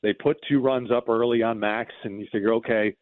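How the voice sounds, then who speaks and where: A man's voice: medium pitch, fairly even intonation, fairly quick, dry recording. A man speaking as a baseball broadcaster guest, reminiscing conversationally about games over a phone-like connection. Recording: narrow phone-like line.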